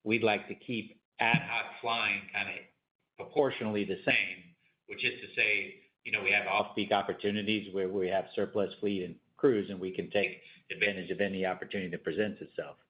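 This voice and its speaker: A man answering questions as an executive on an earnings call, explaining in a measured, unscripted way with repeated words. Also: fairly low pitch, little pitch movement. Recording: dull, band-limited conference call audio.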